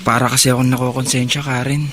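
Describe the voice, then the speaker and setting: A man's voice: mid-range voice, flat delivery, fast, background noise, close to an everyday voice. A man with a Filipino accent playing a troubled, guilt-burdened, protective older brother in a radio drama. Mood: guilty, sombre.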